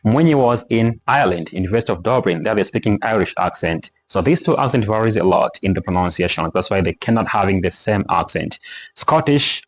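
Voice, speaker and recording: A man teaching English pronunciation in a casual spoken lesson, explaining step by step and slowly repeating example words. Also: fairly low pitch, poor audio.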